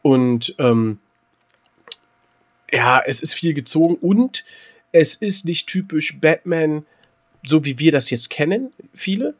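A man's voice: a little high, slow pace, long pauses. A man co-hosting a podcast, chatting casually over a video call, rambling with lots of fillers. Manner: relaxed, rambling, many fillers. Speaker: podcast co-host.

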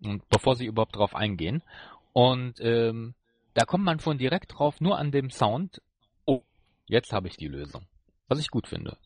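A man co-hosting a podcast, casually reviewing an episode in a halting way as he searches for words. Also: mid-range voice, lively intonation, no echo, studio quality. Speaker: podcast co-host.

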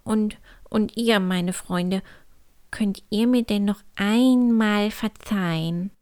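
A sheepish little Easter bunny boy, put on by a woman storyteller. He speaks slowly and haltingly, an apologetic prankster from a children's storybook. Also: mid-range voice, darkish tone, smooth, no echo, clean studio sound, pitched above the performer's own voice, natural voice. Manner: hesitant, halting.

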